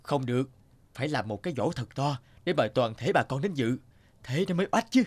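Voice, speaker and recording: A man voicing a pompous, quarrelsome eldest brother in an animated folk tale, blustering and insistent. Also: medium pitch, brisk, lightly acted. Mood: boastful.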